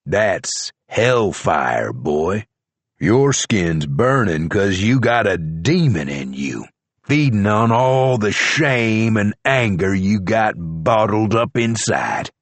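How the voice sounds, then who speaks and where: A jailed horse thief who drags out every word in a low, unhurried country drawl, as a male narrator plays him. He is superstitious and preachy, and his voice sits low and dry. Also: very animated, no room sound, exaggerated voice. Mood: ominous. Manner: slow, drawling rural talk.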